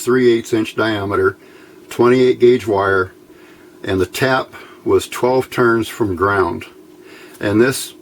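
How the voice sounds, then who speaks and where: Male hobbyist instructor, casual and very unhurried step-by-step delivery, faint noise behind the voice. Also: on the low side. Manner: unhurried, thinking aloud. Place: indoors at a workbench by a window.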